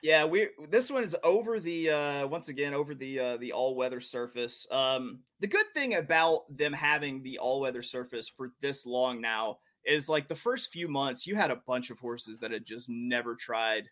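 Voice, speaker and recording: A man's voice: medium pitch, expressive, normal speed, hardly any pauses, fairly bright tone. A man hosting a horse racing podcast, chatting casually and joking, with many fillers and restarts. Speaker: podcast host and handicapper. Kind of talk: casual chat about horse race betting.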